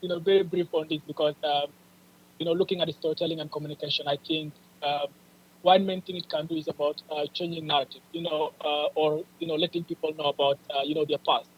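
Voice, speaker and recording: A young man with an East African accent speaks quickly in a fairly high voice. He answers as a panelist on a video call, telling personal stories in an eager, halting way. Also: level tone, a little hiss or hum, low-quality recording. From South Sudanese, raised in Ethiopia and Kenya. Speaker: panelist, youth advocate.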